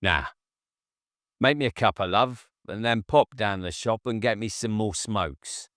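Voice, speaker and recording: A man's voice: on the low side, unhurried, a bit bright, clear, resonant tone, no echo, studio quality, pitched above the performer's own voice. A man reading an audiobook, voicing a cunning, controlling man who turns from coaxing to hard and dismissive. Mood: menacing coaxing. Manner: cajoling then hard and dismissive. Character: controlling, menacing grown man, cunning and short-tempered. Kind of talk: audiobook character voice.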